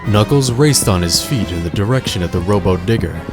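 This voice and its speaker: A man with a fairly low voice narrates a scripted story. His delivery is measured and dramatic, in storybook fashion, with faint music underneath. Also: lively intonation.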